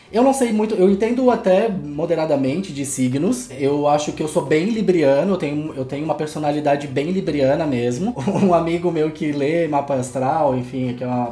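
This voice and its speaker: A young man from Florianópolis with an accent softened toward São Paulo, chatting casually and self-mockingly to camera as a vlogger. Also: a little high. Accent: Florianópolis Portuguese, softened toward São Paulo. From Florianópolis, southern Brazil. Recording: roomy echo, faint music behind.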